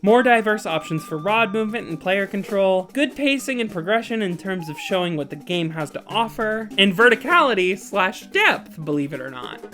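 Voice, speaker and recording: A woman's voice: mid-range voice, fairly bright tone. A woman narrating a scripted video essay with opinionated, playful, self-deprecating casual asides. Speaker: video game reviewer and narrator.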